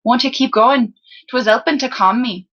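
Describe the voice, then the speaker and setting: A woman plays a cheeky street boy from a storybook, friendly and teasing. His speech is rough and colloquial, pitched up high, on a slightly dull recording. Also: moderate pace, fairly bright tone. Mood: friendly, concerned. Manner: colloquial, teasing, clipped rustic dialect. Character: cheeky lower-class boy captive, friendly and rough-spoken.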